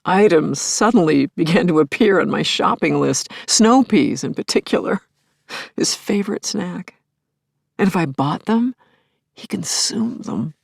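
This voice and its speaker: A woman playing a middle-aged grieving widow in an audio play, telling her story as an intimate, expressive and reflective monologue. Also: on the low side, a little slow, creaky, breathy edge, no room sound, studio quality, full character voice. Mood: wondering, happy. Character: grieving widowed scientist, wistful, wry, tender, anxious. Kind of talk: intimate dramatic first-person monologue.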